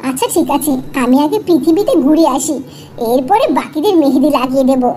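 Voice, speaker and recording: A woman's voice: sits high, expressive, brisk, mellow. A woman voicing a carefree, lazy but kind young fairy in a chatty, exclaiming cartoon manner. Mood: breezy complaining. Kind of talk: Bengali fairy-tale cartoon acting. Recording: noticeable background noise.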